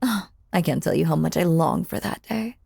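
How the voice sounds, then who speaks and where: A woman playing a possessive, lovestruck stage magician in a roleplay audio drama, theatrical and doting, often breathy or whispering. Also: deep voice, darkish tone, no room sound, studio quality, heavily acted. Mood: tender, controlling. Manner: theatrical, doting, drawn-out hypnotic cooing. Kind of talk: roleplay audio drama, intimate second-person monologue.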